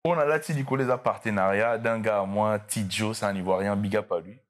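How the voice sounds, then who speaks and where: A young man with a Congolese French accent and a fairly low voice, talking casually as a guest. He is relaxed and easygoing, and often pauses to search for the right words. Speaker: social media content creator, guest. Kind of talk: casual interview chat.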